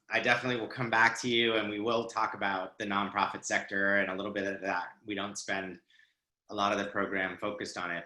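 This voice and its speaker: Male moderator, bright tense tone, echoey room. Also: fairly low pitch. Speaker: panel moderator. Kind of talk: moderating a panel.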